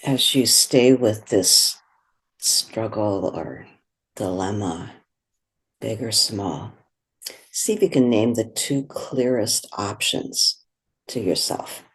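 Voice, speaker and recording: A meditation guide speaking in a gentle, soothing, unhurried way, giving slow instructions with long pauses. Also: mid-range voice, slightly rough.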